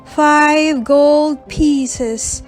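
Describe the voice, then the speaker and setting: Woman voicing an eager, high-pitched little puppet boy. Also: steady tone, very slow, faint music behind. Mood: excited. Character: naive, emotional little wooden puppet boy.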